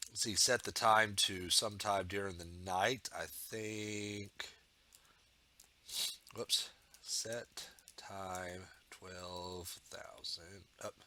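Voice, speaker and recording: A man's voice: lowish voice, sharp tone. A man talking through his building project while working, rambling and thinking aloud with many fillers, getting over a sore throat and a bit of a cold. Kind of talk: casual build commentary.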